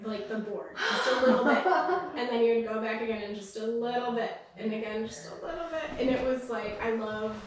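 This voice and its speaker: A woman's voice: a little high, brisk, heavy reverb. A woman tour guide telling a story to visitors, chatty and laughing, indoors in a small old room. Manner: chatty, laughing, self-deprecating.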